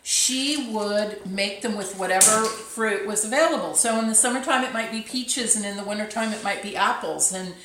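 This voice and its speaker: A middle-aged woman talks in a friend's kitchen in a chatty, joking way, often talking over the other speaker. Her voice sits at a middle pitch. The kitchen is echoey, with a faint clatter of dishes and pans behind her. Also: normal speed, few pauses, noisy background. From Sacramento area. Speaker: guest friend. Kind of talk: casual kitchen chat. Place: home kitchen.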